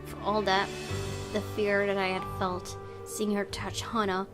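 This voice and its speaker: A woman's voice: mid-range voice, little pitch movement, unhurried. Woman reading a game aloud over music. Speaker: gaming host. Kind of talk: expressive read-aloud and commentary on a visual novel.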